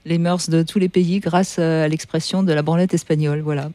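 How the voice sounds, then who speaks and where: A man's voice: on the high side, little pitch movement, brisk, warm tone, dry recording. A man hosting a radio talk show in a dry studio sound, with a mid-height voice. He teases his guests and often ends his lines with "hein". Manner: teasing, tags lines with "hein".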